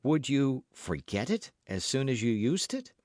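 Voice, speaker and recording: A man reading an audiobook as an anxious, guarded young gentlewoman who speaks in a formal, fretful, pleading way. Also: medium pitch, very animated, a little slow, no room sound, studio quality, pitched above the performer's own voice. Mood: wary, trusting.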